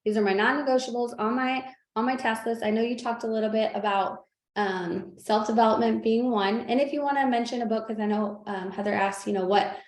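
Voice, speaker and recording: A woman hosts a coaching call in a somewhat high voice, asking guiding questions in an encouraging way. Her video call audio sounds noticeably roomy and echoey. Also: fairly even intonation, brisk, a little mellow. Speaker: host. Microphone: video call microphone.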